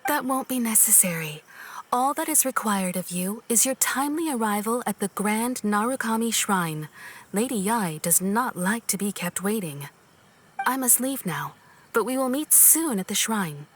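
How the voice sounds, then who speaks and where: A woman playing a composed, courteous shrine maiden in a video game, speaking formally and deliberately. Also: mid-range voice, expressive, slight background noise, close to an everyday voice.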